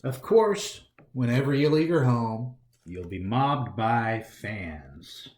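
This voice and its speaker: Animated American man reading to kids. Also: medium pitch, slow pace. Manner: animated, theatrical, talks directly to young listeners. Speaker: library storytime host reading aloud. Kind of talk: children's picture book read-aloud. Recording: roomy, echoey indoor sound.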